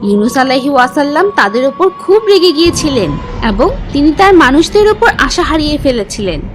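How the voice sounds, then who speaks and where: A woman's voice: high-pitched, big pitch swings, brisk, music in the background. A woman voicing a teacher who tells religious stories to children in a scripted cartoon narration. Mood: instructive. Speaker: storyteller teacher.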